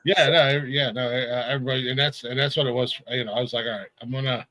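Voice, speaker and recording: A man's voice: medium pitch, fairly even intonation, rapid-fire, sharp tone, dry recording. A man in his forties hosting a music podcast, chatting casually, stammering and laughing as he rambles. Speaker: podcast host. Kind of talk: casual chat.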